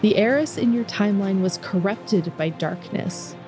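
A woman voicing a calm, authoritative female leader who speaks gently and coolly in a story read aloud. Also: medium pitch, normal speed, over music, lightly acted.

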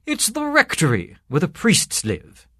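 Man playing a genial priest, very animated pitch. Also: unhurried, slightly rough, dry recording, clean studio sound. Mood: cheerful, teasing. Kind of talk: storytelling character voice.

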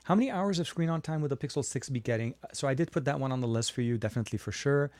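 A man's voice: medium pitch, racing, darkish tone, no room sound. A man from Lebanon hosting a casual live tech stream, answering viewer questions in a chatty way with frequent fillers and restarts. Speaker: tech livestream host.

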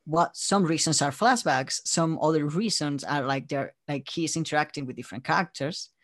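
A man's voice: on the high side, normal speed, fairly bright tone, no room sound, studio quality. A man from Spain with a Spanish accent, chatting casually as an interview guest. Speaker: interview guest, audio drama creator.

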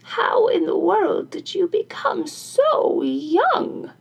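A woman voicing an eager, stubborn, envious old crone in a folktale, with drawn-out, insistent exclamations. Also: extremely high pitch, sing-song, gravelly, voice pushed up, heavily acted. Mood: astonished. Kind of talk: folktale character voice in storytelling.

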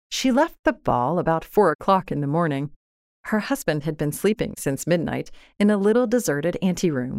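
A woman reading literary fiction as a narrator, with measured, expressive and dramatic delivery. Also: low voice, no room sound, clean studio sound. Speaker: audiobook narrator. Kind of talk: scripted literary story narration.

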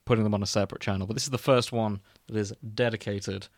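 A man's voice: lowish voice, steady tone, fast talker, no echo, clean studio sound. A man hosting a podcast in casual comedic chat, joking and swearing freely. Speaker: podcast host.

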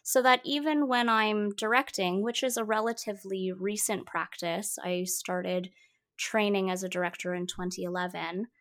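Theatre-artist interview guest: a woman whose mother tongue is Mandarin, reflective and unhurried, restarting phrases as she thinks. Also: medium pitch, fairly even intonation, fairly bright tone. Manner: thoughtful, with frequent fillers and repeated words. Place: Vancouver, Canada.

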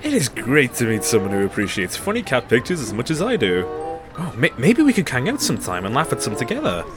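Actor as friendly, playful love interest, young man, hugely lively intonation, music behind. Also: medium pitch, fast. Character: charming, easygoing, friendly young man who likes cat jokes. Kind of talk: audio drama acting, romantic comedy.

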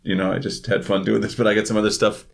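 A man's voice: lowish voice, level tone, fast, gravelly. A man hosting a casual podcast interview, asking questions informally and thinking aloud.

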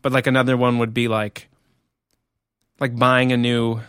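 A man co-hosting a podcast chat, relaxed and loose, dropping the odd curse word. His voice sits in the middle range, and it is recorded close and dry with no room sound. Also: medium pitch, normal speed, clean tone, no echo. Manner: loose and laid-back, swears casually. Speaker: podcast co-host. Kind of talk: casual chat.

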